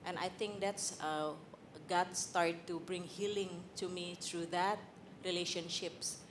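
A woman from Indonesia with an Indonesian accent, sharing a candid personal testimony on a church panel, halting with fillers, on a handheld mic in a large hall. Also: lowish voice, steady tone, background noise. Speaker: panel guest.

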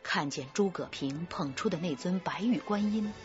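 A woman's voice: on the low side, moderate pace, faint music behind, lightly acted. A woman reading serious, suspenseful scripted narration for a crime radio drama. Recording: slightly dull lo-fi audio.

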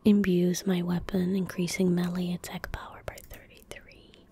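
A young woman speaking calmly and gently in a soft, breathy whisper, giving casual ASMR gameplay commentary close to the microphone. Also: lowish voice, a little hiss or hum. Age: young adult. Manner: calm, gentle, unhurried. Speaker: ASMR gaming streamer. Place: small indoor room at a desk. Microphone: close desk microphone.

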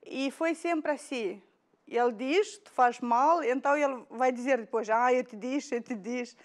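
Moldovan-accented woman in her 30s, bit high voice, halting, looking for words, lapel mic in a tv studio. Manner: halting, searching for words, emotional. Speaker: guest. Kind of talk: casual interview chat. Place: bright TV studio, indoors.

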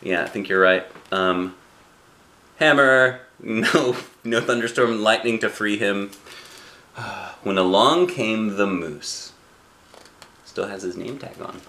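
A middle-aged man reading aloud to a child as a dad would, playful and expressive, with chatty asides and questions. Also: fairly low pitch, slow, long pauses. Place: small living room indoors. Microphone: camera a short distance away.

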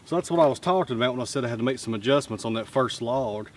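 A practical man in his thirties, talking at an ordinary mid pitch as he walks through how his tools work. He explains step by step in a plain, down-to-earth way. He is recorded outdoors beside a road on a camera mic. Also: rapid-fire. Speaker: channel host.

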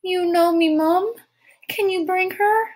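A tiny, feisty urchin girl from a storybook, acted out by a woman narrator. The voice sits very high and small. Her speech is childish and rustic, with homespun grammar. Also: slow, darkish tone. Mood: curious, pleading. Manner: childish ungrammatical dialect speech. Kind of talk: audiobook character voice.

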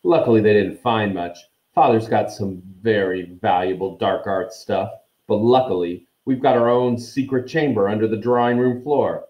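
A man's voice: lowish voice, normal speed, few pauses, reverberant, dull audio, lightly acted. A man voicing a sneering, arrogant rich schoolboy bully in a haughty, disdainful manner. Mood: scornful, boastful.

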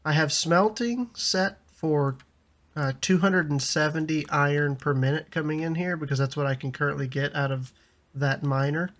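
A man in his thirties with a slightly raspy voice from a lingering cough, chatting casually over a building game and laughing often. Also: a little high, fairly even intonation, normal speed, a bit bright. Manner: chatty, laughs often, frequent ums and restarts. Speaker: gaming YouTuber. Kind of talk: casual gameplay commentary.

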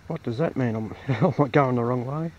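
A man's voice: medium pitch, moderate pace, a little mellow. A man filming his own night hike on a bush trail, chatting to himself and to animals he passes. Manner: rambling, talks to himself and to animals. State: a bit dry mouthed. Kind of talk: casual self-narration. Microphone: handheld camera mic. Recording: dull outdoor camera audio with wind noise.

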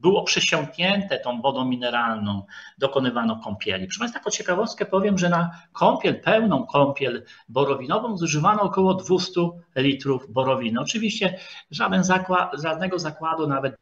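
A man giving an online lecture with slides, speaking haltingly with frequent drawn-out filler sounds. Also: fairly high pitch, big pitch swings, a bit bright. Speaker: lecturer, historian. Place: online video call. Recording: dull, lo-fi video call audio.

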